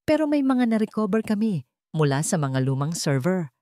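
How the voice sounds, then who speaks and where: A woman's voice: medium pitch, very animated, moderate pace, warm tone, no echo, natural voice. Filipino investigator, voiced by a woman: deferential, matter-of-fact reporting, audio drama, dry recording. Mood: respectful. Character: deferential private investigator reporting to wealthy client.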